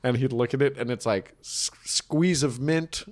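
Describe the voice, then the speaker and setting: A man's voice: medium pitch, a little slow. A man chatting casually and comically as a podcast co-host, telling anecdotes and acting out the quotes. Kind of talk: casual comedic chat.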